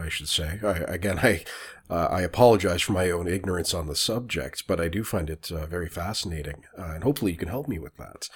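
Low-voiced male radio host, hesitant questions. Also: husky. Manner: hesitant, apologetic questioning with many fillers. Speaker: podcast host. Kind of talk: radio interview.

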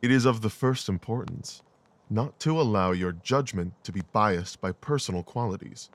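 A man acts a gentleman detective in an audio drama, his voice on the low side and recorded close and dry. He is coolly rational and aloof, clipping his words with analytical precision. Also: expressive, normal speed, no echo. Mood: cool, detached.

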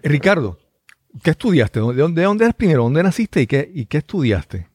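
A man's voice: fairly high pitch, very animated, normal speed, a little mellow, slightly rough, dry recording, clean studio sound. A man with a Puerto Rican accent hosting a conversational interview, working his way wordily toward his questions. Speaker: interviewer, host.

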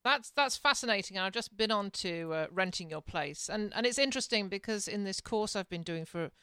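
An English-accented woman co-hosting a relaxed podcast chat. Her voice is smooth and clean, recorded close and dry with no room sound. She laughs easily and fills pauses with ums as she talks. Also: mid-range voice, no echo. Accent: English, softened by years in Canada. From England. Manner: chatty, laughs easily, hesitant with fillers. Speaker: podcast co-host. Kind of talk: casual chat.

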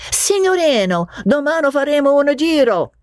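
Lady doing an cab driver, high raised voice, calling out super sure of himself, storybook. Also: big pitch swings, normal speed, darkish tone, no room sound, clean studio sound. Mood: cheerfully certain. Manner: calling out, engagingly certain. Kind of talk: dramatic audiobook reading.

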